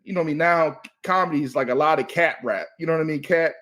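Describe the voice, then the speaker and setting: A man's voice: fairly high pitch, fast talker, a bit bright. A man in his thirties from Maryland, a comedian guest talking casually and loosely, often saying "you know what I mean.". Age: 30s. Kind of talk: casual podcast chat.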